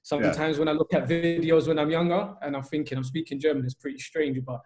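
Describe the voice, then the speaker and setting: Earnest coach from Albania, flat delivery. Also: male voice, a little high, quick pace, faint music behind. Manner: earnest, steady, many ums. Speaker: youth football coach. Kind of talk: telling his life story.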